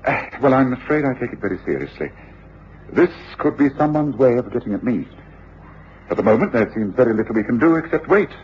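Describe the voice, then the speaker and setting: An actor playing a shrewd, commanding British gentleman detective in a radio drama, speaking precisely and with authority. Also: mid-range voice, very animated, fairly quick, close to an everyday voice. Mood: grave, worried. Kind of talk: 1950s-60s radio drama acting. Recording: dull, hissy old radio recording.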